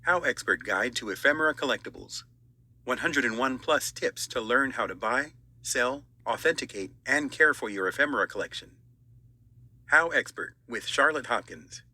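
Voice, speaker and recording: A man's voice: mid-range voice, animated, normal speed, bright, forceful tone. A man reading a scripted promotional audiobook introduction as an audition.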